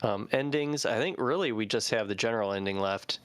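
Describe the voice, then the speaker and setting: Smooth-voiced man in a casual chat. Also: fairly low pitch, brisk, fairly bright tone, no echo. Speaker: game translation team member.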